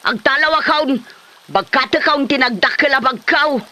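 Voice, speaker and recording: A high, piercing old Ilocano wife played by a woman, fed up and defiant. She nags combatively in a very sharp voice, as in a comedy radio drama. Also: normal speed. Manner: nagging, combative.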